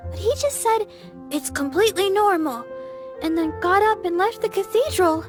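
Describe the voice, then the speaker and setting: A sweet, bright young healer. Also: female voice, very high voice, normal speed, over music. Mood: concerned. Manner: soft, polite and a bit flustered. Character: sweet, earnest young healer girl, gentle. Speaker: voice actor in a game dub.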